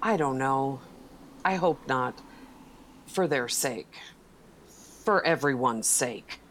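An actress playing a stern, foreboding aunt in a gothic horror audio drama. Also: female voice, a bass voice, expressive, takes it slowly, a little hiss or hum, exaggerated voice. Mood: ominous. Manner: sharp scolding, then foreboding. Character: stern, ominous aunt of a haunted family house.

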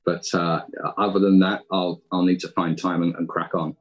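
British bloke in a casual interview, deep voice, kinda rough and husky. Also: male voice, little pitch movement, normal speed. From United Kingdom. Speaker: podcast writer and guest.

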